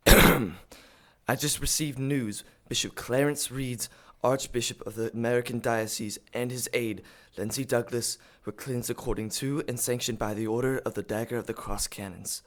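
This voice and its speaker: An actor playing a dutiful acolyte in an audio drama, deferential and formal, as if reciting an official report. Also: male voice, mid-range voice, fairly even intonation, moderate pace, a bit bright, natural voice. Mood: nervous deference.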